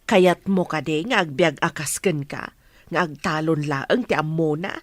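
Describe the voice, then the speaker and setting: A woman's voice: low voice, a little slow, no echo. A woman with an Ilocano accent playing a fair-minded, reasoning village woman in a radio drama. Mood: protesting.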